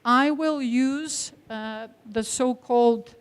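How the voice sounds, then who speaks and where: A woman's voice: a little high, slow pace, clean tone. A Bulgarian woman, a non-native English speaker with a Bulgarian accent, giving an academic conference lecture in an emphatic, engaging way. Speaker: academic speaker, teacher trainer.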